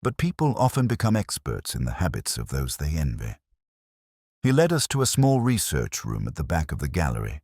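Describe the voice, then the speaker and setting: A man narrating a scripted first-person detective story in a measured, literary, reflective way. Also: very deep voice, huge pitch swings, darkish tone, husky, no echo, clean studio sound.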